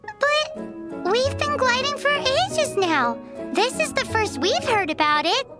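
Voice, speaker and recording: A woman's voice: very high-pitched, sing-song, takes it slowly, full character voice. A woman voicing a tiny, chirpy, cheeky girl companion in a game dub who whines and talks about herself in the third person. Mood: curious. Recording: game audio with music behind.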